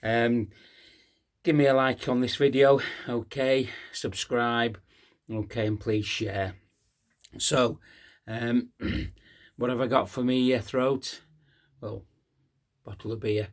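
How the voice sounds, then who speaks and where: A hoarse man, hosting a casual post-match fan talk, halting with many ums and uhs. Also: medium pitch, fairly even intonation. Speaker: fan channel host.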